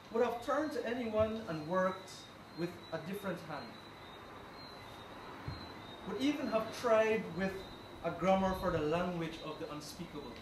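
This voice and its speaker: A man reciting a poem in a deliberate, declamatory way. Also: sits high. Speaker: poetry reader, linguistics lecturer. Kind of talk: poetry recital. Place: indoor recital event room. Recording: distant mic, echoey room, loud noise.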